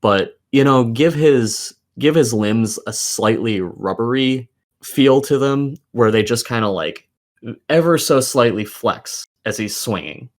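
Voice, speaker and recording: A man's voice: mid-range voice, lively intonation, a little slow. A man co-hosting a casual video game discussion, giving opinions with plenty of swearing. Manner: profane, opinionated. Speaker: podcast co-host.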